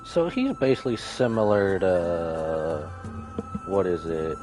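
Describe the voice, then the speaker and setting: Very deep, lively male streamer. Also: slow pace, poor audio. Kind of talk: casual live game reaction commentary. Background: game music.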